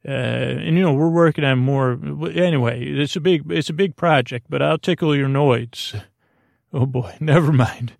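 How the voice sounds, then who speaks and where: A man hosting a sleep podcast, rambling in a gently silly, soothing way, halting and circling back on his words with a gravelly creak. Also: a little high, no echo, clean studio sound. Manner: meandering, halting, trailing off mid-word and circling back. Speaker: podcast host. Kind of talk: rambling bedtime-story monologue to help listeners fall asleep.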